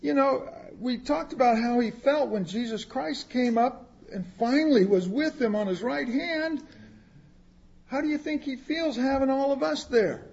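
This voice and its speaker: A man's voice: very high-pitched, vocal fry, a little mellow, lo-fi sound, a little hiss or hum. A man preaching a sermon, reading scripture aloud and explaining it in plain words, with asides to the congregation. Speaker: pastor.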